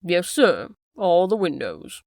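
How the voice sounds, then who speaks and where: A woman voicing a frightened, secretive middle-aged male butler with a lowered, pleading voice. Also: very animated, slow, darkish tone, dry recording, clean studio sound, heavily acted. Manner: pleading then defiant, lowered voice.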